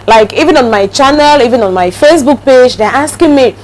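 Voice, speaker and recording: A woman's voice: sits high, expressive, fairly quick, a little hiss or hum, low-quality recording. A woman with a Cameroonian accent hosting a casual interview, exclamatory and often addressing her audience directly. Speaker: talk show host.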